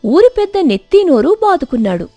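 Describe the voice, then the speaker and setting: A woman's voice: extremely high pitch, sing-song, a little fast, dark tone, no echo, faint music behind. A woman narrating a scripted story in a dramatic storytelling style.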